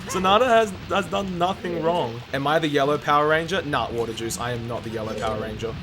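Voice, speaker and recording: A man's voice: fairly high pitch, fairly quick, over music. A young Australian man giving chatty, casual livestream gaming commentary, calling viewers mate and dude. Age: young adult. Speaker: video game speedrun streamer.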